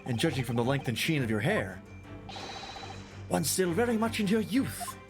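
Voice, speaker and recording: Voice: medium pitch, big pitch swings, moderate pace, music in the background. A streamer reading the lines of a theatrical eccentric male detective in a grand, declamatory way. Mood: grandiose.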